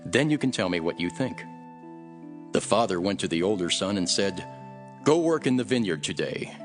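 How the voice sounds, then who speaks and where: A calm male prophet and teacher who speaks with authority in a scripture drama. His voice sits fairly low yet moves expressively, with soft music behind it. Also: moderate pace. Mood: instructive.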